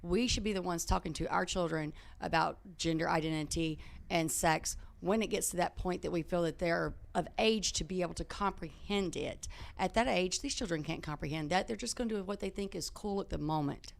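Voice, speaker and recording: A woman speaking on a talk show panel, in a lowish, gravelly voice. She states her view firmly and plainly. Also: fairly quick, few pauses. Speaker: panel guest.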